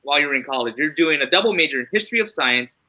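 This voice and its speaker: A man hosting a theater livestream, chatting in a friendly, upbeat way and reacting with lively interjections. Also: on the high side, rapid-fire. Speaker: host. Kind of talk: casual interview chat.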